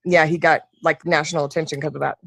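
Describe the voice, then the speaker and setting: A woman's voice: deep voice, flat delivery, fast talker, gravelly, no echo, clean studio sound. An American woman in a casual political chat, recounting things conversationally and acting out quotes. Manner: conversational, recaps with acted quotes.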